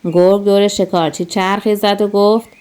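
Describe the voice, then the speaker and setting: A woman narrating a scripted children's story in an expressive, storytelling manner. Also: mid-range voice, clear, resonant tone.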